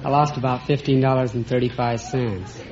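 A man gives plain, short answers as a quiz contestant. His pitch is average, and the old radio recording is dull with clear noise behind. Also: fairly even intonation, a little fast. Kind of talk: plain, brief answers to a host.

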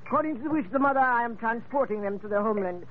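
High-voiced pompous old-radio major. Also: male voice, animated, racing, a crowd in the background. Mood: genial, florid. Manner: pompous, flowery, hesitant, chuckling. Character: pompous, genial, hard-drinking British major, florid and courtly. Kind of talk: 1940s radio acting. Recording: noisy, narrow-band old radio recording.

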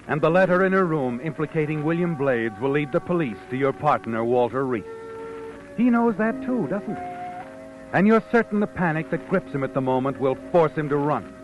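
Actor as sinister radio narrator, music behind. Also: male voice, a little high, lo-fi sound, natural voice. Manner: taunting, insinuating, dramatic. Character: sinister, knowing narrator taunting a guilty man. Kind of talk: 1940s radio mystery narration.

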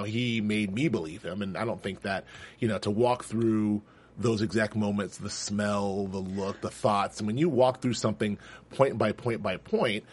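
Husky-voiced man hosting a lively chat. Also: on the low side. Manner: animated, laughing, frequent restarts. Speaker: host. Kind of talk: casual panel chat about a TV episode.

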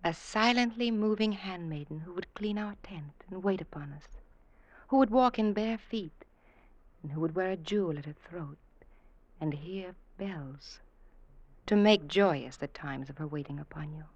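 A sly, manipulative wife, played by a woman in an old radio play. Her voice is on the low side, intimate and insinuating, sliding into breathy near-whispers as she coaxes and goads. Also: moderate pace, muffled recording, lightly acted. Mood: coaxing, scheming. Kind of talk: classic radio drama acting.

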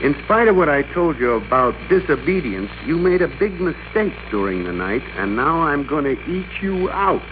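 An actor playing a bossy, excitable office worker in an old-time radio drama, with a domineering, emphatic, theatrical delivery. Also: male voice, on the high side, normal speed, hardly any pauses. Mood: bullying. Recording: noisy, dull old radio recording.

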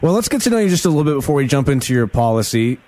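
A man's voice: mid-range voice, very animated, very fast, darkish tone, no echo. A man hosting a political interview in a chatty way, with sarcastic asides. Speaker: interviewer host.

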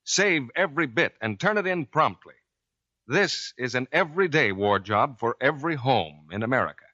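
Animated, bright 1940s radio announcer man. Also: medium pitch, moderate pace. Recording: dull lo-fi 1940s radio recording.

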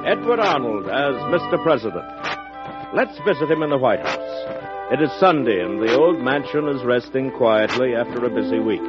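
A man's voice: mid-range voice, lively intonation, moderate pace. Stately male radio announcer. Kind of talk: scripted radio narration. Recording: dull old radio recording over loud music.

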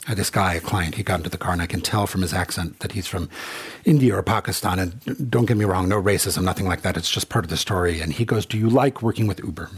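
A middle-aged man from Congo speaks in an earnest, reflective way. His voice is deep and extremely husky. He tells stories and acts out both sides of the exchanges. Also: fast. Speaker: rideshare driver. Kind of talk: personal monologue.

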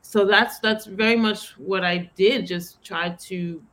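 A woman's voice: mid-range voice, slow. A woman from the Bronx with a New York accent, a podcast guest telling stories in a casual interview and voicing her past thoughts aloud. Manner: animated storytelling, quoting her own thoughts aloud.

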